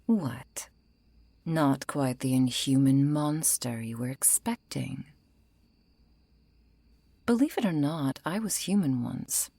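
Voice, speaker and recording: Woman playing a low, breathy, unhurried vampire lady. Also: lively intonation, dry recording, heavily acted. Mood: defensive, wry, then wistful, calm. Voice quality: soft, breathy, often falling into whisper. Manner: unhurried, wry, politely menacing. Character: female vampire, century-old, composed, menacing yet lonely. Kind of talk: ASMR roleplay, intimate scripted monologue.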